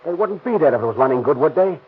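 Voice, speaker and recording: A man's voice: on the high side, lively intonation, quick pace, natural voice. A man playing a ruthless tough-talking gangster. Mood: menacing. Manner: slangy, bossy tough-guy talk. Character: tough scheming car thief, ruthless gangster. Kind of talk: 1930s radio acting. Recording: tinny old radio recording, faint hiss.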